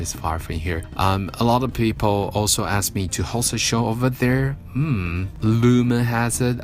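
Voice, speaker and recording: A man hosting a casual evening radio show alone, chatty and self-mocking, rambling between songs. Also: fairly low pitch. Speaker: radio host. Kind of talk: casual solo radio talk. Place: radio studio. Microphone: studio mic.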